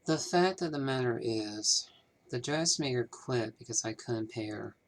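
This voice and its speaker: A man voicing an evasive, troubled small-town bank manager in a game, speaking in a measured, formal way. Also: mid-range voice, lively intonation. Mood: confiding, troubled. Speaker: amateur voice actor. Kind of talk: scripted game character dialogue, fan performance.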